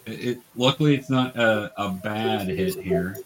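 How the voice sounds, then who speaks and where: A man's voice: lowish voice, a little slow, a little hiss or hum. A man running a tabletop game for young beginners as game master, patiently explaining each step.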